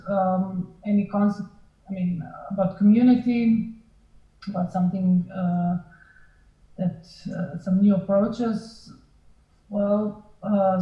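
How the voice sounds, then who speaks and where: A woman giving unscripted, hesitant remarks as a panelist, searching for words as she goes. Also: medium pitch. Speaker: film education panelist. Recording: echoey room, dull band-limited sound.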